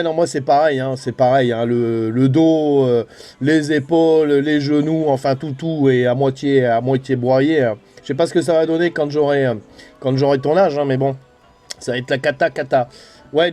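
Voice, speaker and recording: Middle-aged man, mellow and slightly high-voiced. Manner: chatty, colloquial, familiar. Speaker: amateur CB radio operator and vlogger. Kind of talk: casual chat over CB radio.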